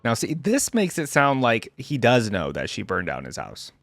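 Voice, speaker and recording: A man's voice: mid-range voice, huge pitch swings, normal speed, creaky, dry recording. A man hosting game reaction commentary, talking and reading aloud in an informal, easygoing way. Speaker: host of a game lore reaction channel.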